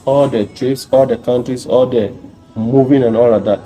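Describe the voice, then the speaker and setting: A man's voice: mid-range voice, fairly even intonation, moderate pace, over music, low-quality recording. A man from Nigeria hosting a casual interview, prodding with curious questions and a playful edge. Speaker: interview host, YouTuber.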